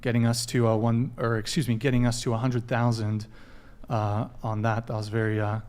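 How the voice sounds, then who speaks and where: A man hosting a casual dating podcast, reading shout-outs and joking between plugs. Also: medium pitch, flat delivery, normal speed, clear, resonant tone. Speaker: podcast host.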